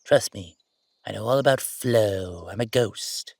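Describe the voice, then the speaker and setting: A man playing a bossy, playful yet sentimental ghost roommate in a conversational roleplay audio drama, with animated, teasing banter. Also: lowish voice, measured pace, gravelly, slightly rough, no echo, studio quality, heavily acted. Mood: excited, teasing.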